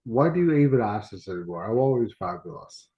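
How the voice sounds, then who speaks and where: Middle aged guy, dad jokes, laughs a lot, kinda low voice, muffled lo-fi audio. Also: sing-song, a little fast. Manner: jokey, laughing often. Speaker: podcast co-host, trainer. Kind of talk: casual chat. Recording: dull lo-fi online audio.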